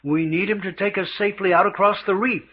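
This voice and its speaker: An actor playing an unflappable English gentleman adventurer with a British accent and a clipped, understated manner in a radio drama. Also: high-pitched, fairly even intonation, quick pace. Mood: brisk. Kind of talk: old-time radio adventure acting. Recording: muffled radio drama recording.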